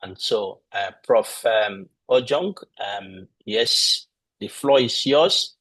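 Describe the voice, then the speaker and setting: A man presenting a board at an online ceremony, speaking haltingly with frequent fillers. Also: lowish voice, expressive, fairly bright tone, slightly rough, no room sound, poor audio. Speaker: master of ceremonies. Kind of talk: virtual ceremony hosting.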